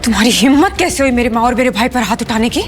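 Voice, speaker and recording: High-voiced indignant, haughty ex-wife played by actress. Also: female voice, animated, fast, soft background music, natural voice. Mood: indignant, hurt. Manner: scolding, haughty. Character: indignant, commanding rich ex-wife, young woman. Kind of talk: Hindi audio series acting.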